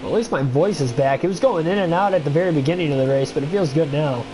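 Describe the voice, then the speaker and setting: A man's voice: a little high, fast talker, noisy background. A young man with a stuffy nose, casually chatting with viewers and commenting on a racing game during a livestream, rambling with many fillers. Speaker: gaming livestreamer.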